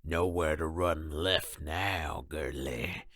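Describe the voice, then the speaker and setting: A man playing a menacing thug on the hunt, with a gravelly growl and a taunting drawl. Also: rumbling low, fairly bright tone, no room sound, clean studio sound, exaggerated voice. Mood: predatory menace. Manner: slow, taunting. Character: menacing, predatory male thug hunting prey. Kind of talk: audio drama villain.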